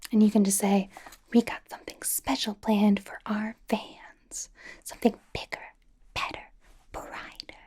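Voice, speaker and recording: Breathy, whispering big-sister K-pop idol played by a woman. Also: mid-range voice, little pitch movement, a little slow, mellow. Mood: encouraging, playful. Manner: encouraging, rambling, playfully reassuring. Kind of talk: ASMR roleplay with personal attention.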